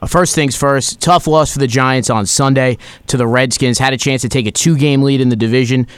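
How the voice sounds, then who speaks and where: An American man co-hosts sports talk in a smooth, clean voice of medium pitch. The recording is very dry, with little room sound. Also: steady tone, brisk, no room sound. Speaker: sports podcast co-host.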